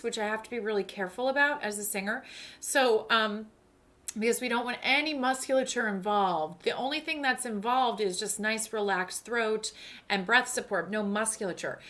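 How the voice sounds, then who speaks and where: A woman voice teacher leading sung vocal exercises and explaining technique in a casual, self-deprecating way, with a big singing voice. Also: fairly high pitch. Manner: sings staccato scale syllables then explains technique in a relaxed spoken voice; self-deprecating, apologizes for slips. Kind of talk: vocal exercise lesson with piano. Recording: loud piano under the voice. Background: piano accompaniment.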